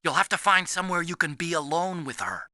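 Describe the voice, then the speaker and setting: An actor voicing a timid, soft-hearted young male scientist in a video game, earnest and anxious as he explains things. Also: fairly high pitch, lively intonation, brisk, dry recording, clean studio sound. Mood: anxious, prodding.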